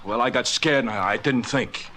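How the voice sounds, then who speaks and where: Sharp-voiced cornered hoodlum, played by a man. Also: mid-range voice, normal speed, natural voice. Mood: nervous, defiant. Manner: stammering, protesting. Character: cornered, scared small-time male hoodlum, whiny and defiant. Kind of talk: old-time radio crime drama acting. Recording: old radio recording with faint noise.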